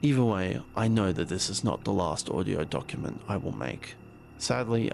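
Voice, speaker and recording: A man's voice: low voice, creaky. A man playing a haunted, paranoid conspiracy theorist, rambling uneasily and confidingly in an audio drama taped diary.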